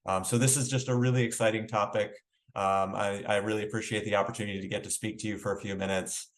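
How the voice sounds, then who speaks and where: A man speaking as a regulatory official, giving loosely spoken conference remarks in a halting, hedging way. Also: on the low side, flat delivery. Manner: halting, hedging, frequent "you know".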